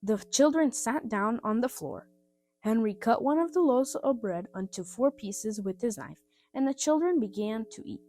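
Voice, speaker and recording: A woman reading a scripted children's story aloud in an expressive, simple style, with music behind her. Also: on the high side, moderate pace. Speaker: storybook reader.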